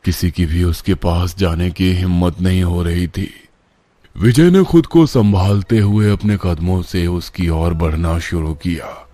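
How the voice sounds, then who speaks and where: Slow, low-voiced male horror narrator. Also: dark tone, no echo. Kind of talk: scripted horror story narration.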